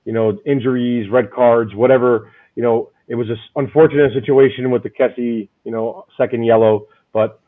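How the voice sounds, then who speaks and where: A man hosting a casual fan chat, loud and rambling, often repeating his words. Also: medium pitch, fairly even intonation, moderate pace, hardly any pauses, narrow, tinny audio. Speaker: podcast host.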